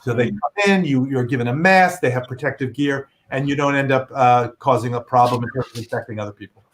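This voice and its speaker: A man's voice: mid-range voice, fairly quick. A middle-aged man, a physician guest, explaining earnestly and patiently with examples on a video call.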